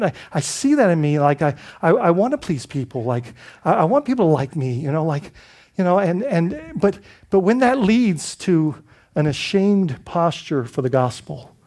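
High-voiced preaching man from the Bahamas. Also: a little mellow. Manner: conversational preaching with frequent restarts and rhetorical "right?". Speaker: pastor. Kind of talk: sermon.

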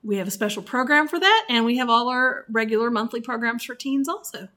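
A woman's voice: fairly high pitch, a little fast. A woman co-hosting a library podcast, telling stories in casual chat. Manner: storytelling, animated when recounting.

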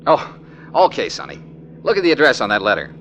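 Bright-voiced wisecracking radio private eye. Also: male voice, medium pitch, huge pitch swings, fairly quick, dull audio, a little hiss or hum. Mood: sardonic, teasing. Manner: dry, sardonic. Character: wisecracking hard-boiled male private detective, brisk and sardonic. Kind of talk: 1940s radio drama acting.

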